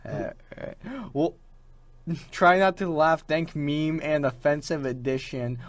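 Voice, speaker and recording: A man's voice: on the high side, slow. A young man co-hosting a radio show with casual, slangy banter.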